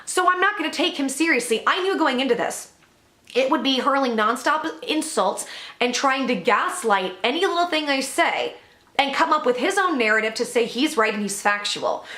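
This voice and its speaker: A woman hosting a commentary vlog update, blunt, profane and emphatic, with a loud voice that sounds worn from overuse. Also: sits high, expressive, normal speed. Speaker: commentary channel host. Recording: echoey room.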